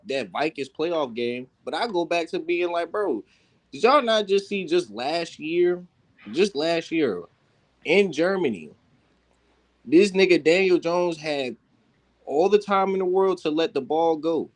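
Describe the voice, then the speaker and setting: A man in a casual, heated sports debate, talking insistently with lots of slang and swearing. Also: fairly high pitch. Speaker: sports talk panelist.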